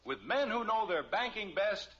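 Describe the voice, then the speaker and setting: A man's voice: high-pitched, very animated, moderate pace, sharp tone, exaggerated voice. An actor playing an exasperated, sarcastic middle-aged American father in a mid-century radio sitcom. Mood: encouraging, brisk. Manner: dry, sarcastic. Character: exasperated, wisecracking father of a suburban family. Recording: dull, noisy old radio recording.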